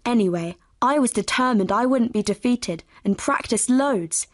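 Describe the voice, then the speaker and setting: A woman reading a bright, determined scripted monologue for a listening exam. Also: fairly high pitch, expressive, moderate pace, dry recording, clean studio sound. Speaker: exam recording speaker.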